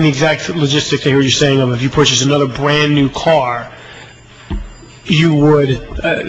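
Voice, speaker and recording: A man's voice: medium pitch, fairly bright tone, highs cut off. An American man answering formally, off the cuff, as a government official at a hearing. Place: legislative committee hearing room.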